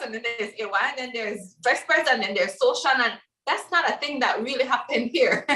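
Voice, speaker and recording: A woman's voice: fairly high pitch, pushed and bright, echoey room, far from the mic, low-quality recording. A woman from Belize moderating a panel discussion in a chatty manner with many fillers.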